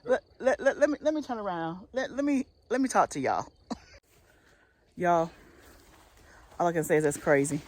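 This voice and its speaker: A woman's voice: lowish voice, animated, moderate pace, pauses often, darkish tone. A middle-aged woman vlogging to camera from a farm field, chatting casually and calling her viewers y'all, holding her phone at arm's length. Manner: chatty, keeps addressing viewers as y'all, frequent restarts. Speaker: homestead vlogger. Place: outdoors on a farm field by a garden fence. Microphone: phone held at arm's length.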